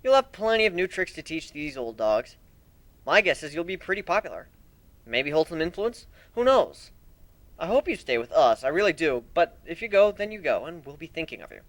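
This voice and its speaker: A man giving an expressive, theatrical first-person narration as an amateur dramatic story reader. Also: on the high side, brisk, no echo.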